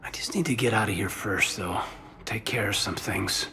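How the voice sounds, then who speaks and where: An actor playing an earnest, soft-spoken male soldier who has just been made an elite agent. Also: fairly low pitch, normal speed, vocal fry. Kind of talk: video game voice acting.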